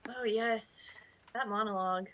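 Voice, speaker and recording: Girl on a commentary panel, bright forward voice, casual, very dry sound. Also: mid-range voice, fairly even intonation, moderate pace, no room sound, lo-fi sound. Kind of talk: casual chat.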